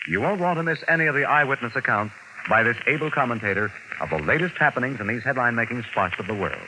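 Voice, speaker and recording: Noisy vintage radio, male announcer, American accent, mid pitch, formal, promotional, scripted broadcast delivery. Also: lively intonation, quick pace. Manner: formal, promotional, crisply phrased. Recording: noisy old radio recording.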